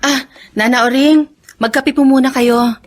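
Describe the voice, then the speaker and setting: A woman's voice: sits high, steady tone, a little slow, soft background music. A woman playing a polite, deferential adult daughter in a radio drama.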